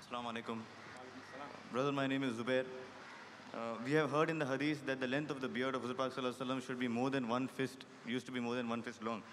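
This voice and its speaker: Man in audience, quick and polite, asking a question, distant in an echoing hall with faint background hum. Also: mid-range voice, steady tone, fairly bright tone. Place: large lecture hall.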